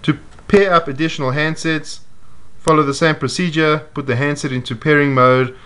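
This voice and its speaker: A man giving a measured, step-by-step walkthrough of programming a device, voiced over close-up footage. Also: mid-range voice, fairly bright tone, clear, resonant tone. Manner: measured, methodical explaining. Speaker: product demonstrator. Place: indoors at a table.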